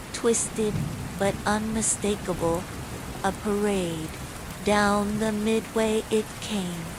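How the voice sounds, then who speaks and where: A woman reading a scripted bedtime horror story in a measured, suspenseful way, as a storyteller. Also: mid-range voice. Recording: steady loud rain noise throughout. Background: falling rain.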